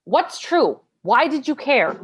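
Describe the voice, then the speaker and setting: A woman's voice: high-pitched, big pitch swings, slow, slight background noise, exaggerated voice. A woman acting an exasperated, combative military defense lawyer in a stage play read-through, pressing hard with sarcastic questioning. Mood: angry, insistent.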